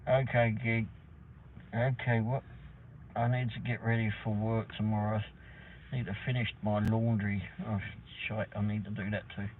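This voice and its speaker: A man's voice: on the low side, little pitch movement, normal speed. A middle-aged man with an English accent, hosting a rambling, casual livestream chat with mild swearing. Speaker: livestream host, delivery driver. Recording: tinny phone-like audio with faint noise.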